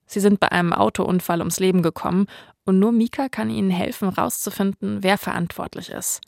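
A woman's voice: mid-range voice, fairly even intonation, a little fast, vocal fry, darkish tone, no room sound, clean studio sound. A woman, hosting a podcast and narrating from a script. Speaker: podcast host.

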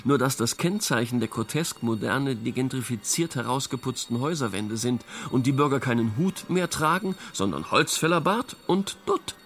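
A man's voice: mid-range voice, expressive, moderate pace, few pauses, fairly bright tone. An actor in a radio play playing a wry intellectual man, eloquent, ironic and rather pompous. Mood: wry, lecturing. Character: wry male intellectual theorising about modern absurdity. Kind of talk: conversational radio play dialogue.

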